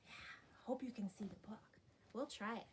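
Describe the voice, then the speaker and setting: A woman hosting a children's storytime, reading aloud in a playful, expressive way, asking the listeners questions and often dropping into whispers for suspense. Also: mid-range voice, normal speed, slight background noise. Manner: drops into breathy whispers for suspense. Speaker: children's storytime host, library reader.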